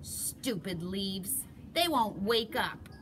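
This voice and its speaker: A woman voicing a loud, bossy female capybara bully who shouts and blusters indignantly. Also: mid-range voice, lively intonation, slow, a little hiss or hum. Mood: huffy.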